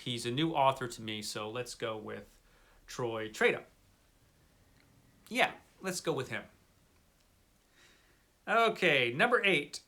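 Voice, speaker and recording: A man's voice: medium pitch, bright, forceful tone. A middle-aged man talking casually and unscripted to camera as a book vlogger, with a dry, playful manner and a habit of repeating himself.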